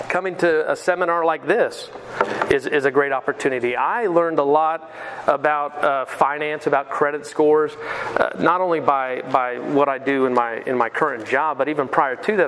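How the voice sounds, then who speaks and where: A man's voice: a little high, fairly bright tone, slight background noise. Chatty man giving a finance seminar. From Pensacola, Florida. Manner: chatty and self-deprecating, asks the audience questions. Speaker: mortgage sales manager giving a seminar. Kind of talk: casual seminar talk. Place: lecture room.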